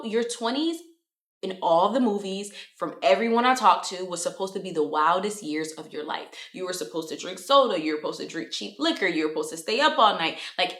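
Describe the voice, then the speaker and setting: A woman's voice: fairly low pitch, a bit bright. A woman in her twenties giving motivational self-improvement advice straight to her viewers, in a direct, chatty way. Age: 20s. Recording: roomy echo.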